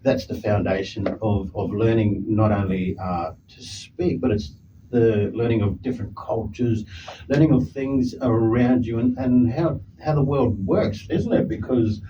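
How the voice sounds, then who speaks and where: A man hosts a radio interview in a loose, chatty way. His lowish voice comes from a distance through dull audio with clear background noise. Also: measured pace. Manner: casual, chatty, uses you know and isn't it. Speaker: radio host. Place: radio studio.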